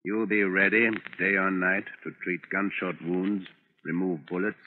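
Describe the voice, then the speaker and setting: Very deep-voiced crooked lawyer, old radio. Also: male voice, level tone, a little slow. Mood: coolly persuasive. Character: crooked, calculating lawyer, cool and menacing. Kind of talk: 1930s radio drama acting. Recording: scratchy, muffled, narrow-band 1930s radio.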